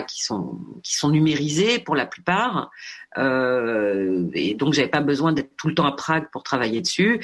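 A woman's voice: extremely low pitch, breathy edge. Woman filmmaker in interview, hesitant, restarts, fillers. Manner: halting, many fillers and restarts. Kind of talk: radio interview answer.

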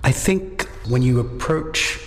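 A middle-aged man, an academic and translator, answering interview questions in a measured, thoughtful way with some restarts. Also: medium pitch. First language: English. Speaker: Czech studies lecturer and translator. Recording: long reverb, faint noise.

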